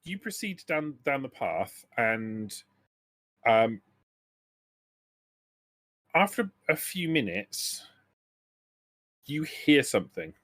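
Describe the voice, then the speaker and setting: A man's voice: medium pitch, big pitch swings, slow, pauses often, a bit bright, dry recording. A man acting as game master, explaining rules and narrating a tabletop roleplaying game in a hesitant, explanatory way.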